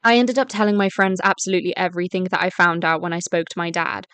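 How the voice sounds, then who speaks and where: A woman playing a wary, defiant student girl in a story drama, smooth and clear, explaining things at length. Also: lowish voice, quick pace, fairly bright tone, no room sound. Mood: recounting, tense. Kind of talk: first-person story narration and voice-acted dialogue.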